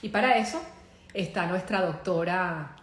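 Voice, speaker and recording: A woman's voice: fairly low pitch, unhurried. A woman with a Venezuelan accent, a psychologist hosting a live online show in an upbeat, welcoming way. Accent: Venezuelan Spanish. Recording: roomy echo, faint background noise.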